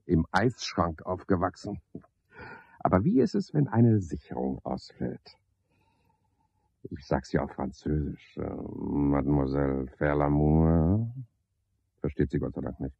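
An actor playing a garrulous, pompous, scheming porter in a radio play, wordy and self-important, speaking in rambling clipped phrases. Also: male voice, extremely low pitch, measured pace, gravelly, pauses often, mellow, husky, dry recording, exaggerated voice. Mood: scornful, boastful. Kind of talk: radio play monologue, theatrical. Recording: dull 1970s radio play recording.

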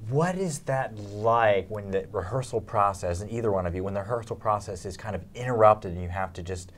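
A man's voice: on the low side, fairly quick. A middle-aged man hosting a conversational on-camera interview, putting questions to his guests. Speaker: interview host.

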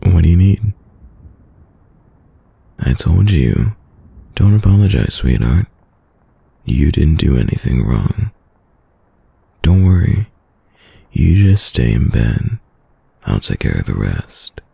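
A caring boyfriend acted by a man speaks softly in an ASMR roleplay, close and very dry. The voice is heavily fried and husky, rising and dipping expressively as he gently frets and soothes. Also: big pitch swings, no room sound, full character voice. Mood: firm, tender. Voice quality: deep, gravelly, husky. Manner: soft, slow, soothing, hesitant. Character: caring, worried, doting young boyfriend. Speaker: audio roleplay voice actor.

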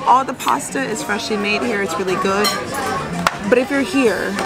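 A woman's voice: a little high, moderate pace, loud music behind the voice, over a noisy crowd, poor audio. A woman vlogger chatting casually and emphatically to her camera in a busy cruise ship restaurant. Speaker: travel vlogger.

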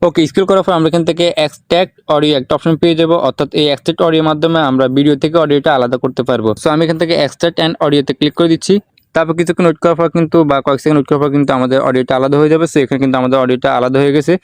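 Male tutorial host, rapid delivery, slightly high pitch, English words mixed in. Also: steady tone, smooth, dry recording. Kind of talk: step-by-step software tutorial narration.

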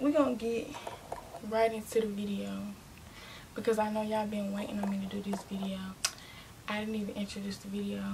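A young woman of nineteen rambles casually into a camera mic in her small bedroom. Her voice has a gravelly fry, and she often restarts and corrects herself. A faint hiss sits behind her. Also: fairly high pitch, rough recording. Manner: chatty, rambling, restarts and self-corrections, drops into quiet asides. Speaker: vlogger. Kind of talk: casual Q and A talking to viewers.

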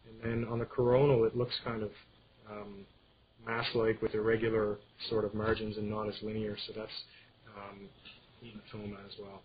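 A man giving an informal medical slide lecture, explaining things in a halting way. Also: on the low side, completely flat delivery. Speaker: radiology lecturer. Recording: dull screen-capture audio with clear background noise.

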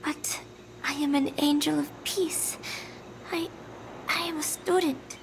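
Frail, haunted young woman for game drama: high, gentle, breathy, confused, slow and hesitant delivery. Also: fairly even intonation, noisy background, exaggerated voice. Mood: dazed. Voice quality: breathy, often dropping to a whisper. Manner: halting, hesitant, fragmented phrases. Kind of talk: dramatic video game cutscene acting.

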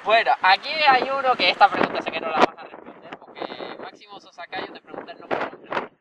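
Higher-voiced man interviewing in loud wind. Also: expressive, fairly quick. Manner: informal, teasing. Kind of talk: casual interview chat. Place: outdoors by the sea, windy. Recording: wind-battered outdoor recording, distant mic.

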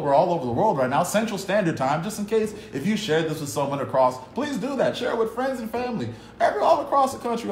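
A man in his thirties reading a novel aloud for kids, earnest and dramatic, recorded on a phone at a table indoors. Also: high voice. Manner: earnest, dramatic, upbeat at the close. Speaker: youth club staff reading a novel aloud. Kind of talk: read-aloud storytelling for kids. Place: cinderblock room at a table, indoors. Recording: echoey bare room, faint hum.

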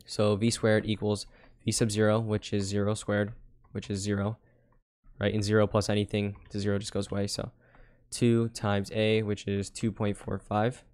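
Young guy walking thru a physics problem, lowish flat voice, casual, keeps fixing his own slips. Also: normal speed. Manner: casual, talks through each step, frequent "right?" and self-corrections. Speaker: tutor. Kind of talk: whiteboard physics walkthrough.

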